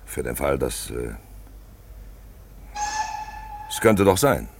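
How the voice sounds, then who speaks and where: A man acting a pensive, insistent narrator figure in a 1950s radio play, declaiming and asking probing questions with hesitant pauses. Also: very deep voice, sing-song, gravelly, background noise, exaggerated voice. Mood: solemn. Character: pensive, insistent male narrator figure who stages the action. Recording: 1950s radio drama recording.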